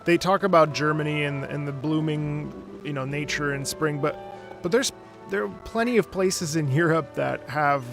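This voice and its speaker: A man giving casual live playthrough commentary as a game streamer, halting and rambling as he talks. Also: a little high, creaky, music in the background.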